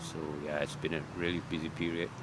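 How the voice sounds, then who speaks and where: A middle-aged man with a Malaysian accent, chatting casually as an interview guest in a halting way, often repeating his words. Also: low voice, flat delivery. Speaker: guest, guitarist. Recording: clear urban traffic noise behind.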